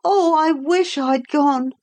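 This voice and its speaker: A woman voicing an earnest, warm-hearted young boy in a storybook reading, speaking eagerly. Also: sits high, slow, voice pushed up.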